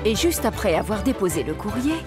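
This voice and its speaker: A woman's voice: mid-range voice, big pitch swings, fairly quick, over loud music, natural voice. A woman narrating a children's cartoon story from a script.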